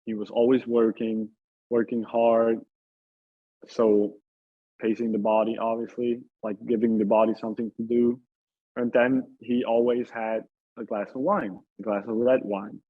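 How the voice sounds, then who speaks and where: A man's voice: medium pitch, flat delivery, a little slow, husky. A man from Italy chatting casually as an interview guest, hesitant and repeating his phrases, with thin phone-like sound. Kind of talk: casual chat.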